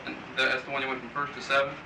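A man interviewing for a dialect study, asking questions haltingly with many restarts. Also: mid-range voice, level tone, gravelly. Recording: roomy distant old field tape with loud hiss.

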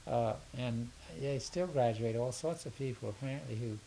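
A man's voice: mid-range voice, normal speed, gravelly, poor audio. An older man answering interview questions casually, in a hesitant, rambling way that often repeats words. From southern United States. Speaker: interviewee, education consultant. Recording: faint steady hiss.

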